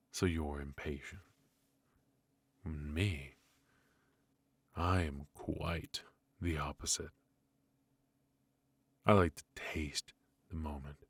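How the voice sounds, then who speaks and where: A man playing a ruthless, teasing mafia boss in a noir ASMR roleplay, unhurried and menacing, with long pauses, close on the microphone. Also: a bass voice, no echo, heavily acted. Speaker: voice actor. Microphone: close microphone, dry.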